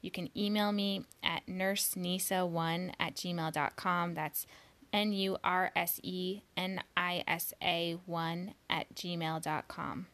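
A woman hosting her own podcast, speaking slowly and deliberately, pronouncing each word carefully, sometimes dropping to a soft whisper. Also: fairly low pitch, fairly even intonation. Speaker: podcast host. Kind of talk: slow, careful spoken podcast introduction.

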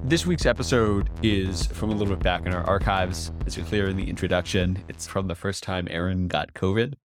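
A man co-hosting a talk podcast in casual conversation, animated and stumbling over restarts. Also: low voice, brisk, over music. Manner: animated, stammering restarts, laughs while telling anecdotes. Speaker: podcast co-host.

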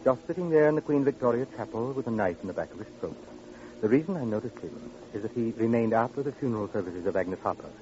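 An actor playing a pompous, unctuous funeral director in an old-time radio drama, with flowery, solemn, fussily genteel speech. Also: male voice, medium pitch, animated, fast talker, warm tone, close to an everyday voice. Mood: smugly genteel. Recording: muffled vintage radio recording, faint noise.

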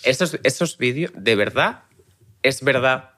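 A man's voice: mid-range voice, expressive, pushed and bright. A young man co-hosting a podcast, joking and interrupting in casual chat. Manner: joking, interrupting, quick laughs. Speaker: podcast co-host.

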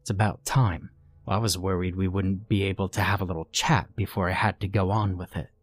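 A man's voice: low voice, steady tone, a little fast, no echo. A man voicing a gleeful, sinister male shapeshifter with a British accent, chatty and mocking. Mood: breezy menace. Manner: taunting, theatrically chatty.

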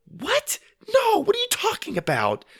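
A man's voice: high-pitched, huge pitch swings, moderate pace, vocal fry, a bit bright, husky, no echo, studio quality, voice pushed up, full character voice. A sensible, bossy girl from a children's storybook, played by a man. The voice is lifted well above a man's usual talk and moves a lot. She sounds cautious, scolding and insistent. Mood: flustered, insistent.

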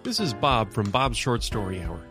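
Male podcast host, mid pitch, animated scripted promo, music clearly underneath. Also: moderate pace. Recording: prominent music behind voice.

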